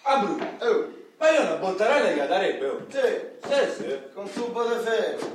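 Rough high-pitched street man. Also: big pitch swings, normal speed, slight background noise. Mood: grumbling, menacing. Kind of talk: theatrical radio play. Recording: echoey hall, far from mic.

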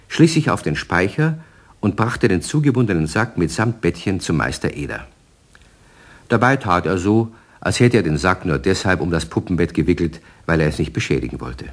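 A man narrating a children's radio story in a husky voice, with an expressive, unhurried storytelling manner. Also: low-pitched.

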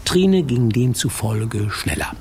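Male radio-play narrator: folksy storyteller, lively swings in pitch, gravelly edge. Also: slow pace, very noisy. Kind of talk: scripted fairy-tale narration for radio drama.

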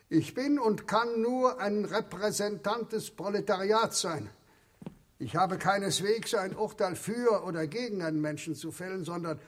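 An actor playing a fanatical, doctrinaire revolutionary ideologue who declaims rhetorically in a radio drama, leaning on drawn-out phrases. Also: male voice, high voice. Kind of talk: radio drama political oration.

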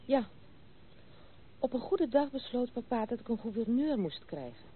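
A woman's voice: on the high side, very animated, normal speed. An actress playing a proud, blunt, tomboyish young noblewoman in a Dutch radio play, frank and self-assured. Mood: candid, reminiscing. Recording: tinny, band-limited, noisy old radio recording.

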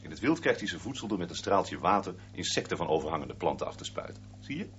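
A proud aquarium keeper talks fast in a deep voice with lively intonation. The delivery is natural radio drama, explaining things eagerly. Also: male voice. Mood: eager, informative. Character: fish-keeping man proudly explaining his aquarium. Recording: dull radio play with faint hum.

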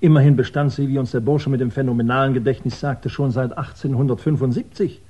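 An actor as husky, cheeky police sergeant. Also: male voice, medium pitch, fairly even intonation, fast, mellow, natural voice. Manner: cheeky, dryly self-mocking. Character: male police sergeant, cheeky, self-mocking. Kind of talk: 1960s German radio crime drama acting. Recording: dull 1960s radio recording.

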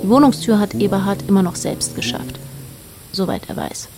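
A woman narrating a literary radio drama in a dry, measured, quietly ironic manner. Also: medium pitch, sing-song, music in the background.

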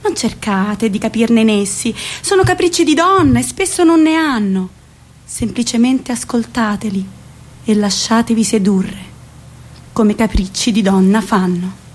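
A woman with a central Italian, Roman-area accent narrating a theatrical monologue in an expressive, colloquial way. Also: on the high side, measured pace. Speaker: stage actress.

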